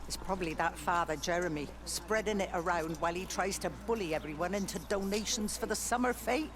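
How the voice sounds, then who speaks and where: An actress playing an elderly, sharp-tongued, mournful English village widow in a naturalistic video game drama. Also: female voice, fairly low pitch, fairly quick, background noise, natural voice. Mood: brisk, scornful. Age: older.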